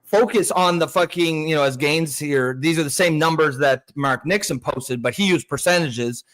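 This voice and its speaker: A man's voice: on the high side, normal speed, fairly bright tone, low-quality recording. Sarcastic Canadian man talking politics. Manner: sarcastic, mocking, with filler-heavy restarts. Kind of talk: casual political chat.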